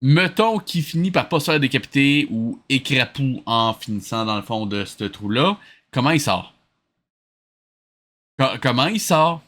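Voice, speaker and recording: A man's voice: medium pitch, expressive, unhurried, fairly bright tone. A man with a Quebec French accent giving casual, exasperated, sarcastic commentary with some swearing. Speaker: commentator mocking an old cartoon. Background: cartoon soundtrack music.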